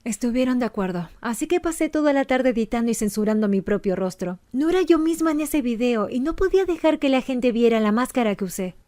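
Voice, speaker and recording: Actress as an earnest young woman, mellow voice. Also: fairly high pitch, expressive, a little fast, hardly any pauses, natural voice. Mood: earnest, sorrowful. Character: young woman animator confessing a painful struggle, earnest. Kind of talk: scripted first-person narration, fan dub of an animated confessional video.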